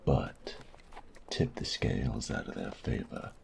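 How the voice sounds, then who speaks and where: A man playing a cocky, sadistic bandit in a roleplay audio drama, smug and teasing, with a gravelly voice that often drops to a breathy whisper and taunting, drawn-out phrasing. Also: a bass voice, slight background noise, full character voice. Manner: slow, taunting, drawling, savoring pauses.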